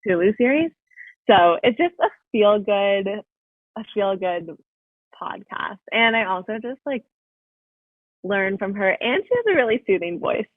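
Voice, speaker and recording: A woman's voice: mid-range voice, lively intonation, measured pace, pauses often, no room sound. Young woman on a tinny call line. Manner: chatty, full of "like" fillers. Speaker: podcast guest. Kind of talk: casual interview chat. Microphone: video call. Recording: dry, phone-like call audio.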